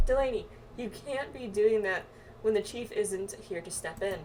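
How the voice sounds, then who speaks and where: A woman voicing a worried but steady companion from a fantasy game, earnest and gently admonishing. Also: medium pitch, moderate pace, natural voice. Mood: concerned. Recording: distant mic, music behind.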